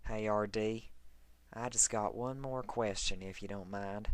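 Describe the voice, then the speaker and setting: A man's voice: on the low side, steady tone, unhurried, no echo, voice pushed up, lightly acted. A man voicing a proud, grumpy farm pony mare with a blunt, folksy drawl in a fan fiction reading. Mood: puzzled.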